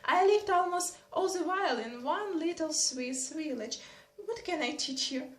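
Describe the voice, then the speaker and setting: A woman reading as a gentle, good-natured young prince who speaks mildly and hesitantly. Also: sits high, animated, pitched above the performer's own voice. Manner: mild, hesitant, slow. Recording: roomy echo.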